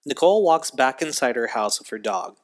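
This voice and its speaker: A man's voice: medium pitch, expressive, a little fast. A man narrating an audio drama from a script, describing the action in a matter-of-fact way.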